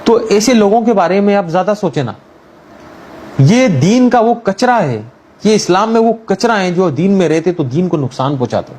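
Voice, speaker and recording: A man's voice: high voice, expressive, normal speed, a little mellow. A man telling a religious moral story in an informal, conversational way, mixing in everyday English words. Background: background music.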